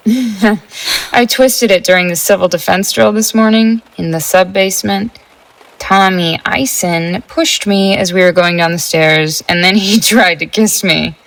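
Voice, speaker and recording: A woman playing a flippant, stubborn teenage gang member in a 1950s audio drama, with an offhand, halting delivery. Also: medium pitch, fairly bright tone, poor audio.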